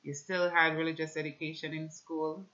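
A woman in her thirties with a Jamaican accent gives an earnest, measured religious testimony at home, talking to camera. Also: sits low, flat delivery, bright, forceful tone, muffled recording. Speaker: speaker giving a personal religious testimony. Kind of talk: personal testimony with scripture reading, talking to camera. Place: small indoor room at home with shelves.

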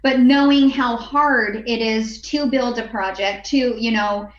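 Echoey, higher-voiced woman co-host. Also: steady tone, unhurried. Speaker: talk show co-host. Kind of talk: casual conversational interviewing. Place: home room, on video call. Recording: echoey, dull video-call audio.

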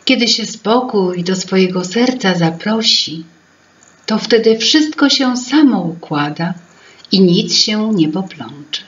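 A woman's voice: medium pitch, expressive, takes it slowly, muffled recording, slight background noise. A woman voicing a proud, bustling female fairy-tale sprite who keeps people's memories. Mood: wistful. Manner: self-important, bustling, musing.

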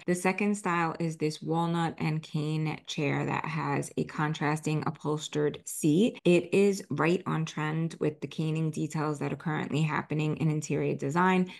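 A woman in her forties presents home decor in a conversational voice-over. Her voice sits quite low and has a clear vocal fry. She explains things plainly, like a host walking viewers through products.

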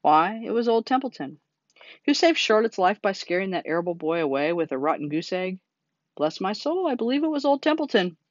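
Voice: mid-range voice, sing-song, a little fast, dry recording, highs cut off, close to an everyday voice. A reader voicing a self-pitying, sarcastic male rat from a children's storybook, complaining in a mocking, exaggerated way. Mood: boastful complaint. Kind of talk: children's storybook character reading.

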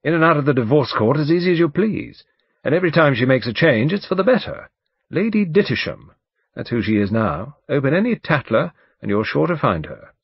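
A man's voice: fairly high pitch, sing-song, a little fast, gravelly, husky, no echo, highs cut off, voice pushed up, exaggerated voice. A man voicing a bluff, urbane and overbearing English barrister in an audiobook, breezy, emphatic and chatty. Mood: breezy, animated. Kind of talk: audiobook character dialogue.

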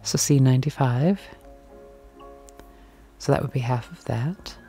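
Woman vlogger, mellow tone, chatty shop walk-through, faint music underneath. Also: rumbling low, unhurried. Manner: chatty, musing aloud, drifting into soft whispers. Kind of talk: casual walk-and-talk commentary. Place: large indoor antique and thrift shop. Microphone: handheld camera mic, filming as she walks.

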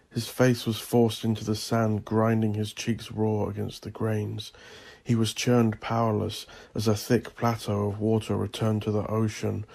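A man narrates dark fiction in a dramatic, theatrical style. His voice is dark and gravelly, rising and falling very widely with an intense, husky delivery. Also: on the low side. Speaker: audiobook narrator. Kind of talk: dramatic scripted horror narration.

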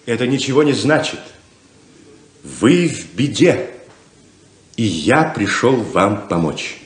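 An actor playing a smooth-talking, self-assured rainmaker con man in a theatrical 1970s Soviet radio play. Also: mid-range voice, big pitch swings, slow. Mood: grand, persuasive. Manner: theatrical, grandly persuasive.

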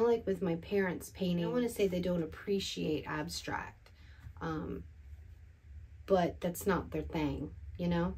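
A woman in her forties talks to the camera from a small home art studio in a low, slow voice. She rambles in a relaxed, self-talk way, still groggy from the morning. Her pitch sits on the deeper side and she takes her time. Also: slightly rough, low-quality recording. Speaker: artist vlogger. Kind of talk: casual studio vlog.

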